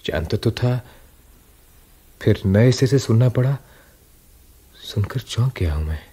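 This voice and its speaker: An actor playing a weary, self-doubting writer in a radio drama, husky and breathy, brooding and hesitant. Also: male voice, medium pitch, animated, a little slow, warm tone. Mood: weary, troubled. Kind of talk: Hindi radio drama acting.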